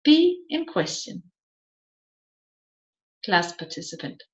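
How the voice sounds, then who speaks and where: A woman narrating an audiobook of a seminar in a deliberate, emphatic, questioning manner. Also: mid-range voice, big pitch swings. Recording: slightly dull, roomy echoing sound.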